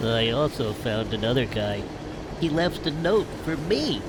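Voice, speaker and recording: A man's voice: medium pitch, sing-song, a little slow, very noisy. A man voicing an earnest, solemn alien hero, an escaped slave, in a video game cutscene. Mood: solemn, troubled.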